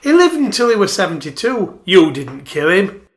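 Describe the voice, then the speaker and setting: Man playing a high-voiced, questioning doctor. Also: sing-song, normal speed. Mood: firm reassurance. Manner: questioning, firm. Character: concerned, matter-of-fact male hospital doctor questioning a patient. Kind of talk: radio play acting.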